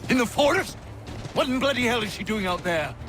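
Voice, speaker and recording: An actor playing a blunt but kindly colonial country doctor in a video game, wry and plain-spoken. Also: male voice, very high-pitched, animated, fairly quick, over music. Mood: startled, exasperated.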